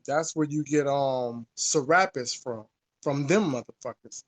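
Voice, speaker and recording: A man's voice: mid-range voice, a little slow. A man talking casually and informally about history, repeating phrases for emphasis and swearing now and then.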